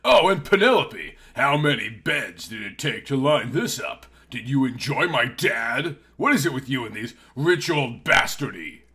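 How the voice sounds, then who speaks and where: Man voicing a forceful, bitter Southern heiress. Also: medium pitch, very animated, unhurried, hardly any pauses, husky, heavily acted. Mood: mocking. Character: vengeful young Southern heiress, bitter and cold.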